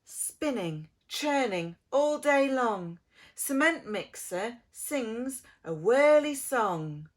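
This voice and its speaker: A woman reading a children's picture book aloud as an expressive preschool storyteller, theatrical, drawing out words and dropping into soft, hushed phrases. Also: on the high side, drawn-out words.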